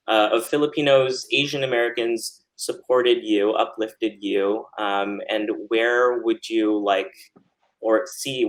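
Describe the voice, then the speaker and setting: A man's voice: lowish voice, fairly bright tone, rough recording. A man moderating a remote panel over a video call, asking friendly, open questions. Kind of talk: hosting a panel.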